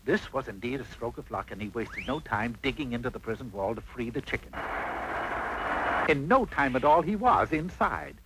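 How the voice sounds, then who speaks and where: A man's voice: medium pitch, expressive, normal speed, very noisy, lightly acted. A man playing an arch, theatrical storybook narrator, reading a comic fairy tale on an old cartoon soundtrack. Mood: wry, brisk. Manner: arch, dramatic.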